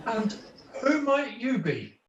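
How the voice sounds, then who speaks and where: Man playing a high-voiced, melodramatic jester. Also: very animated, slow pace, muffled recording, background noise, heavily acted. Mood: comic, courtly. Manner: theatrical, flowery, mock-archaic, declamatory. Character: melodramatic weary jester, a strolling player on a quest. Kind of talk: comic pantomime dialogue. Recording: echoey room over video call.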